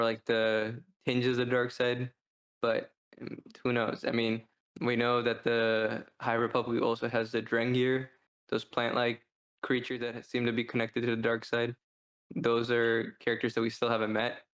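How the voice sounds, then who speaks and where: Man talking casually in an even tone. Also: mid-range voice. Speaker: podcast co-host. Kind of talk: casual discussion and book review.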